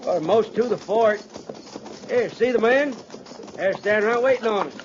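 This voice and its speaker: A man playing a rough, folksy, steady cavalry trooper in a 1950s radio drama. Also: high-pitched, normal speed, close to an everyday voice. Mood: grim, concerned. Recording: muffled, noisy 1950s radio recording.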